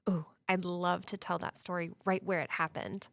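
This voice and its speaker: An actress playing a wry, spirited young daughter who guides ghost tours in an audio drama, with a dramatic storytelling flair. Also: female voice, on the low side, little pitch movement, moderate pace, vocal fry, a bit bright, dry recording. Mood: eerie, delighted.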